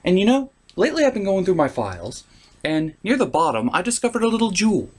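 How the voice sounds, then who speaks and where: A man's voice: sits high, huge pitch swings, fast talker, breathy edge. A man delivering scripted comedic review narration as a theatrical, opinionated show host.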